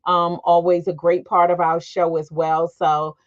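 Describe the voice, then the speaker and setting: A woman's voice: on the low side, little pitch movement, unhurried. A middle-aged American woman hosting an informal panel discussion. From Washington DC area, United States. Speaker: host. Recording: dull, lo-fi call audio.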